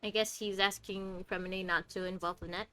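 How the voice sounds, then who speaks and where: A woman's voice: medium pitch, fairly even intonation, fairly quick, bright, forceful tone, faint music behind. Wry woman streaming a game. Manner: wry, reactive. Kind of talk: casual playthrough commentary.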